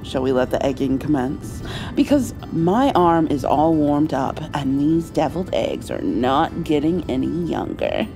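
A woman playing a caring, playful mother in an intimate ASMR roleplay, soothing her grown child with gentle, halting speech and endearments. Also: low-pitched, big pitch swings, music in the background, heavily acted. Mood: playful, scheming. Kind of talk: intimate comfort ASMR roleplay, acted as one side of a conversation.

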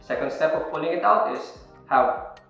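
Young guy walking you through a demo, slightly high voice, super echoey room. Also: animated, moderate pace, gravelly, a bit bright, faint music behind. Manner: friendly step-by-step explaining. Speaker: wine presenter. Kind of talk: instructional demo. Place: indoor room with a table.

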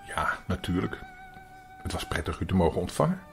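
A man acts out a fussy storybook schoolmaster with a slightly raised, very animated voice. He is formal and stern, yet curious and easily flustered. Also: deep voice, a little fast, gravelly, faint music behind, exaggerated voice. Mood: flustered politeness.

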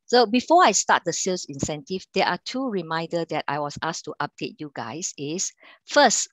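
A middle-aged woman from Singapore with a Singaporean accent, running an online sales training over a video call, talking haltingly with many fillers and restarts. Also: lowish voice, no echo. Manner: halting delivery with frequent fillers and restarts, quizzing the audience. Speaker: account manager and sales trainer.

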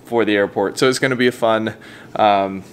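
A man's voice: on the low side, fairly even intonation, normal speed, clean tone. A man, perhaps in his thirties, chatting casually to a handheld camera as a vlogger. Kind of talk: casual vlog chat. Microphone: handheld camera at arm's length. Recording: noisy vlog audio, ambient outdoor noise.

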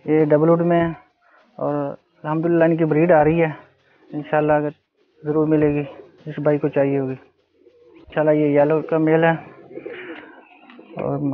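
A middle-aged man with a Pakistani accent talks informally in a flat, fairly high voice, repeating stock phrases. It sounds thin and phone-like. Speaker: pigeon farmer. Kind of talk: informal showing of livestock. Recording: phone-like thin audio, faint music. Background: faint music, cooing pigeons.

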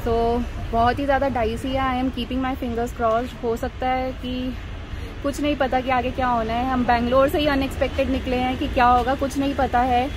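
A woman's voice: high-pitched, flat delivery, normal speed. A woman travel vlogger chatting candidly and teasingly on a road trip, mixing Hindi and English. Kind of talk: casual vlog chat mixing Hindi and English. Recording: noisy outdoor ambience.

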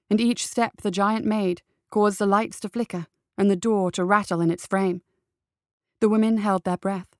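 A woman's voice: mid-range voice, no echo, clean studio sound. A woman reading a scripted story as an audio fiction narrator.

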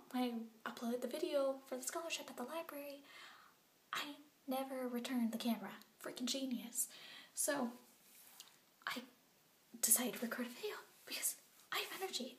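A young woman playing an excitable orphan girl who secretly records a video diary at night, talking in a hushed whisper and rambling in bubbly bursts. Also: on the high side, roomy sound, a little hiss or hum, lightly acted. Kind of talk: scripted web series vlog monologue.

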